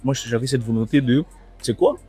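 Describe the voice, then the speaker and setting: A man's voice: mid-range voice, fairly even intonation, moderate pace, dark tone, husky. A young man from France, a rapper guest, chatting casually and slangily over a meal in a restaurant. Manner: laid-back, slangy, repeats himself. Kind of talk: casual interview chat. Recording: music playing in the background.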